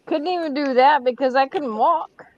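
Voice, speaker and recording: A woman's voice: high-pitched, normal speed, lo-fi sound. A woman speaking bluntly and matter-of-factly in a casual group chat. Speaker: chat participant.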